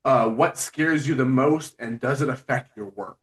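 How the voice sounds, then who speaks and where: A man hosting an informal podcast interview, with a halting, conversational delivery. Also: mid-range voice, rough recording. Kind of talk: casual interview, reading out listener questions.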